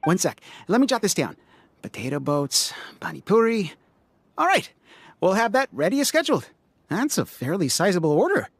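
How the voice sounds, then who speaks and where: A woman's voice: lowish voice, big pitch swings, moderate pace, breathy edge, dry recording, voice pushed down, exaggerated voice. A woman voicing a friendly, easygoing food stall vendor with polite patter in a fan dub of a video game. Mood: welcoming. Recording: amateur recording with music behind.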